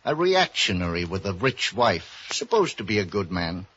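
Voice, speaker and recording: A tipsy, grandiloquent ex-doctor from an old radio detective show. His delivery swings widely in pitch with a raspy edge, wry and theatrical, on a dull vintage recording. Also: big pitch swings, normal speed, fairly bright tone, exaggerated voice. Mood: dry, wry. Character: boozy, florid, philosophizing middle-aged ex-doctor sidekick. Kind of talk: 1940s radio drama acting.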